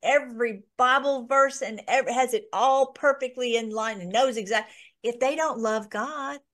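A woman's voice: a little high, unhurried, fairly bright tone. A woman hosting an informal online Bible study, talking in a rambling way with many restarts and fillers.

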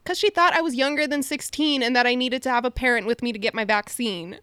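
A woman's voice: high-pitched, fast talker, bright, forceful tone, clear, resonant tone, no echo, studio quality. A woman in her twenties co-hosting a podcast, telling stories in a casual chat full of "like". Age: 20s. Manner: chatty, storytelling with lots of "like". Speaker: podcast co-host.

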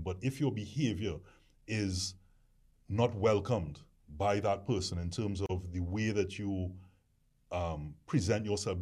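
A deep-voiced man in casual discussion, rambling with frequent restarts. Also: a little mellow. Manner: rambling, hesitant with many restarts. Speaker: discussion panelist.

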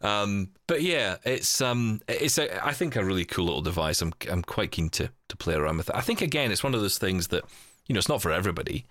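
A man's voice: low voice, big pitch swings, quick pace, fairly bright tone, dry recording, studio quality. A man hosting a casual podcast chat, speaking in a conversational, opinionated way. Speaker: podcast host.

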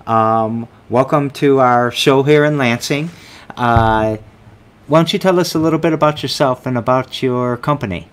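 Male podcast host, casual, friendly, a bit rambling, mid-pitched. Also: moderate pace, clear, resonant tone. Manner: friendly, rambling, filler-heavy. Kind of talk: casual interview.

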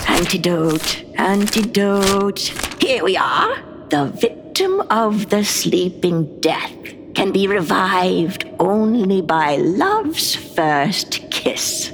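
A woman playing an evil queen disguised as a cackling old hag in a comic radio play, with a cracked, breathy voice. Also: mid-range voice, very animated, drawn-out words, a little hiss or hum, exaggerated voice. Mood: testy, scheming. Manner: gleefully wicked, theatrical.

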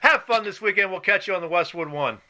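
A man with a high-pitched voice works as a sports podcast host, putting questions to guests and handling links. Music runs prominently behind him. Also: expressive, quick pace. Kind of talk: sports interview and podcast hosting.

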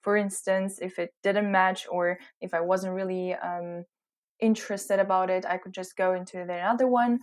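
A woman's voice: on the low side, steady tone, moderate pace. A woman in her twenties with a mixed British and American accent, answering interview questions reflectively and searching for words as she talks. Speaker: interview guest, recent graduate.

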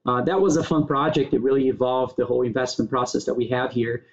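Flat-voiced Brazilian man, halting. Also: mid-range voice. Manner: halting, many fillers and restarts. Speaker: guest. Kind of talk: interview answers. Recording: dull lo-fi sound.

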